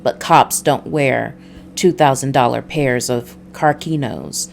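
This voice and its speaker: A woman voicing a weary, hard-boiled male detective, narrating a noir visual novel in a measured, brooding way. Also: a bass voice, gravelly, slight background noise, exaggerated voice.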